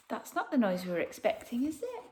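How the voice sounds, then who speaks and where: Slow, animated woman reading a picture book. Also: a little high, a little hiss or hum. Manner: dramatic, with pauses and hushed emphasis. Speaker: storyteller. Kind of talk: expressive children's picture book narration.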